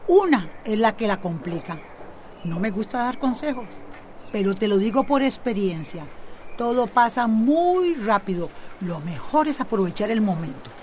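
A woman's voice: mid-range voice, sing-song, moderate pace, darkish tone, slight background noise, natural voice. A woman playing a kindly, frank older neighbour giving advice in a radio drama, with a Costa Rican accent. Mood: frank, encouraging.

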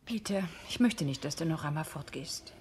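An actress in a German radio drama playing an anxious, clinging woman who pleads in a soft, hushed, breathy voice. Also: low voice, lively intonation, normal speed, exaggerated voice. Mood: anxious, pleading. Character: Elder, anxious, clinging female partner of the agent.

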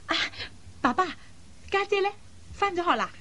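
A woman's voice: very high-pitched, animated, moderate pace, bright, forceful tone, full character voice. A woman playing a playful, headstrong young air hostess in a Cantonese radio drama, pouty and teasing. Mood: sleepy, flustered. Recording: faint background noise.

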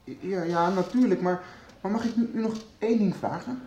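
An actor in a radio soap playing an earnest, stubborn young student, hesitant but insistent. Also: male voice, sits high, moderate pace, warm tone, roomy sound, close to an everyday voice. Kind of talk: 1990s radio soap acting.

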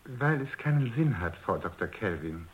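A man's voice: on the low side, sing-song, moderate pace, highs cut off. An actor playing a defensive, condescending male scientist in a German radio drama. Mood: testy, defensive. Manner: condescending, stammers when flustered. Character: defensive, patronising male scientist, testy under questioning. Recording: echoey, reverberant radio drama recording.

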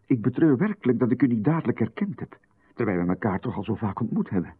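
A man's voice: medium pitch, very animated, quick pace, slightly rough, no room sound. A man with a Flemish accent, playing a polite, hesitant playwright in a radio drama who is easily flustered. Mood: polite unease. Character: polite, flustered male playwright, anxious to please. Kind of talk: 1990s radio drama acting. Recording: dry, narrow, phone-like radio broadcast.

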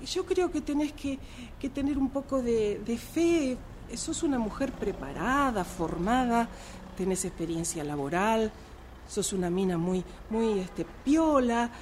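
Animated, coaxing Argentine woman friend in an acted scene. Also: fairly high pitch, slow. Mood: encouraging. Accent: Argentine Rioplatense. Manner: coaxing, hesitant phrasing. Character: supportive, insistent woman friend cheering someone up. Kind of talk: radio drama workshop dialogue. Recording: noisy amateur workshop recording.

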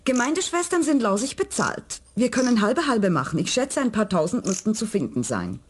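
Rauchige, freche Gemeindeschwester. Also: female voice, on the high side, very animated, slightly rough. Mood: brazen. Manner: brisk, blunt, cheeky. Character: brazen, greedy female community nurse. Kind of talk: radio crime comedy acting.

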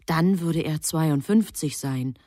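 A woman narrating a literary radio drama from a script in a composed, measured storytelling manner. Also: sits low, mellow, dry recording, studio quality.

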